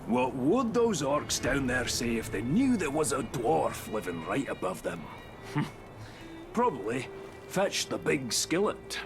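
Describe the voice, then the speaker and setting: Wry dwarf smith. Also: male voice, medium pitch, very animated, unhurried, vocal fry, echoey room, in a large hall. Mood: amused. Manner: dry, wry humor. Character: gruff dwarf smith living alone, wry. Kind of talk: video game cutscene voice acting. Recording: game audio with music behind.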